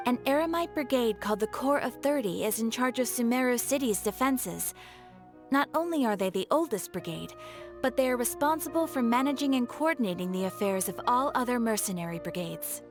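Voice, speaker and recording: Courteous game receptionist, fairly high female voice, formal and measured, faint music behind. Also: expressive. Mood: helpful, composed. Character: polite, composed female guild receptionist, helpful and formal. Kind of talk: video game voice acting.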